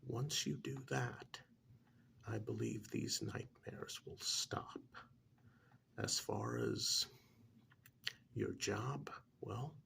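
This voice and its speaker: A man playing a calm, blunt couples therapist in a soft-spoken ASMR role-play, half-whispering with breathy, deliberate, hesitant pauses. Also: on the low side, a little hiss or hum, exaggerated voice. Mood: reassuring. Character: calm, blunt male couples therapist counselling married clients. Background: soft clicking of pencil tapping.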